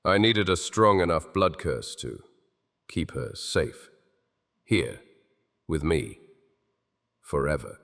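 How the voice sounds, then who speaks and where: A man's voice: very deep voice, sing-song, takes it slowly, gravelly, long pauses, a bit bright, exaggerated voice. A man voicing a guilt-ridden male king who stammers and pleads, in an audio drama with long hall reverb. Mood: anguished.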